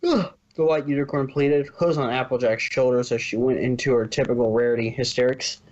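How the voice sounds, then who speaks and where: A man's voice: medium pitch, fairly even intonation, fairly quick, darkish tone. A man narrating fan fiction informally, stumbling over words now and then, on a muffled home recording.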